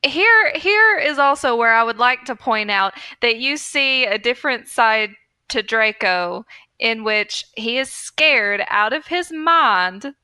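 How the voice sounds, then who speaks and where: Woman, podcast co-host, crisp clear voice, slightly slow pace, casual chat, dry sound. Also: a little high, bright, forceful tone, no room sound.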